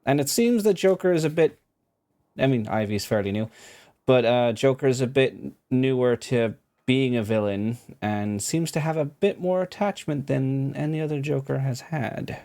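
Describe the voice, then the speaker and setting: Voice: medium pitch, normal speed, mellow. A gaming video host giving casual, conversational commentary.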